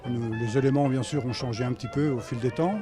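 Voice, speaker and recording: A man who plays in a jazz band from Normandy, answering an informal interview haltingly and repeating words. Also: medium pitch, steady tone, music in the background. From Normandy, France.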